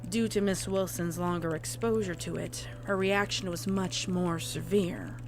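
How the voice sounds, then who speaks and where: A woman's voice: fairly low pitch, fairly even intonation, unhurried. A woman reading a scripted horror story as a narrator, in the form of a report. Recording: clear background noise.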